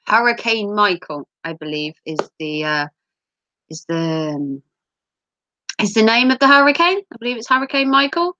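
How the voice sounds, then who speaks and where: A British woman streams while sick with a cold, her voice wheezy and broken by throat clearing. She rambles casually with lots of ums and self-mocking jokes, her tone bright and forceful. The close mic sounds dry and a little dull. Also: medium pitch, sing-song, unhurried, pauses often, no echo. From United Kingdom. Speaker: livestream host. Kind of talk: casual livestream chat.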